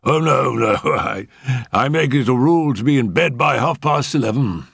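A man voicing a courteous, fussy upper-class older gentleman with a formal, brisk, polite manner. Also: mid-range voice, expressive, gravelly, husky, dry recording, studio quality, pitched above the performer's own voice, exaggerated voice.

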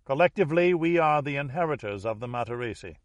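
A commanding, cultured Dutch heir acted out by a male audiobook reader, formal and self-assured. His middle-pitched voice moves deliberately through each phrase. The recording is very dry, with no room around it. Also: expressive, dry recording, lightly acted.

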